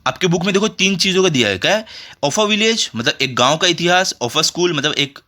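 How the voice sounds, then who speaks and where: Bright-voiced Indian man tutoring children. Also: high voice, expressive, normal speed. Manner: repeats check phrases and rhetorical questions, instructive. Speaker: school tutor. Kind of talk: explanatory lesson for children, reading textbook and translating.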